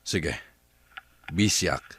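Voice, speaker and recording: A man's voice: low-pitched, extremely slow. An actor playing an impatient businessman searching for his lost child in an Ilocano radio drama. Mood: irritated.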